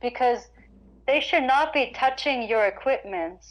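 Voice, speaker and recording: A woman's voice: high voice, animated, a little slow, low-quality recording. A woman singer talking casually in an interview about her experiences on stage, often restarting her sentences. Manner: chatty, frequent restarts and stammers. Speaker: guest singer-guitarist.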